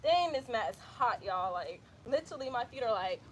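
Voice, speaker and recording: A woman's voice: fairly high pitch, lively intonation, brisk, slight background noise. A young woman from Atlanta with an Atlanta accent, chatting casually and laughing often as she teaches handstand practice outdoors, filmed on a phone. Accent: Atlanta, Georgia. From Atlanta, Georgia, US. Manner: chatty and laid-back, says "y'all" and laughs often. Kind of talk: casual workout instruction. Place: outdoors in bright sun on an exercise mat.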